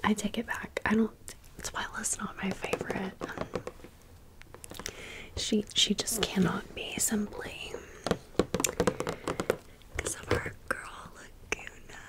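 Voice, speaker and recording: Female ASMR creator, fully whispered and slow, breathy, casual, slangy and gushing, with deliberately repeated phrases. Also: mid-range voice, level tone. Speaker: ASMR creator presenting a doll haul.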